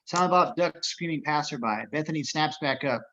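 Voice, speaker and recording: A man's voice: a little high, a little fast, bright, forceful tone, dull audio. A man narrating the action of a script as reader of stage directions in an amateur table reading.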